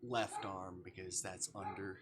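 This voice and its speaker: A man's voice: low voice, fairly even intonation, a little slow, background noise. A man casually commentating on a livestream, rambling to himself and reading game text aloud. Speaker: live streamer playing visual novels.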